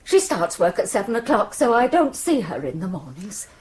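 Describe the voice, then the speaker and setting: A woman's voice: medium pitch, sing-song, normal speed, darkish tone, lightly acted. An actress playing a worried, politely insistent middle-aged English widow and mother in a radio drama. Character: anxious widowed mother of a missing grown daughter.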